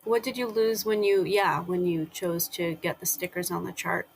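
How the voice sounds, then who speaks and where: Gravelly-voiced woman asking gentle questions. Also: fairly low pitch, fairly even intonation, moderate pace. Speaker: interviewer. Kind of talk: conversational interview.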